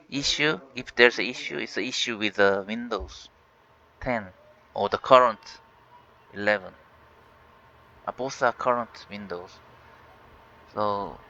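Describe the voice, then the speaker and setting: Korean-native man hosting a tech vlog, slow, bright tone, halting with fillers, faint hiss under a dull mic. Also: mid-range voice. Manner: halting, many fillers and restarts. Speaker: tech channel host. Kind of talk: casual screen-recorded walkthrough.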